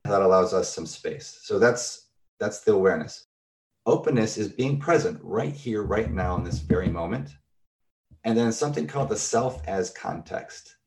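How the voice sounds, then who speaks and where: A man's voice: low voice, a little mellow. A middle-aged man, a therapist, explaining concepts in a thoughtful, conversational way with everyday examples.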